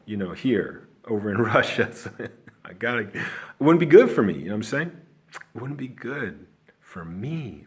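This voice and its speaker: A man from Chicago with an American accent, talking loosely in a casual monologue as an online teacher, often asking "you know what I'm saying?". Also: medium pitch. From Chicago, USA.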